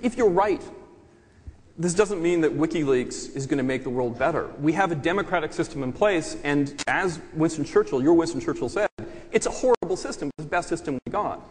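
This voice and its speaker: Man, somewhat high voice, emphatic, rhetorical, debate panelist, reverberant hall. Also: lively intonation, a little fast.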